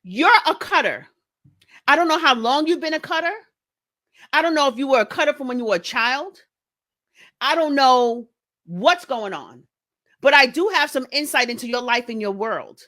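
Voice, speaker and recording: A middle-aged woman speaking deliberately and pointedly in direct address, repeating phrases for emphasis. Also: high-pitched, animated, bright, forceful tone. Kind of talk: direct address response video.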